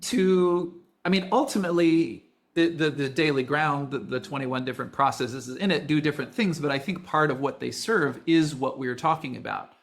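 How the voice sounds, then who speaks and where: Gravelly-voiced man thinking aloud. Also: on the high side, moderate pace. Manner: searching, repeats words. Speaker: podcast host and course creator. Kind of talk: casual podcast conversation.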